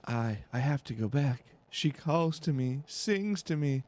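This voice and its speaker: A man's voice: medium pitch, expressive, measured pace, darkish tone, natural voice. A man voicing a bewitched, desperate prisoner in a video game, pleading obsessively. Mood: desperate, entranced.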